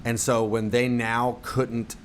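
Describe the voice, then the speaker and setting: A man's voice: mid-range voice, level tone, slow pace, a little hiss or hum. A man from the United States, a guest on a casual podcast, talking in a rambling, self-deprecating way with lots of fillers and restarts. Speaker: guest, percussion company representative.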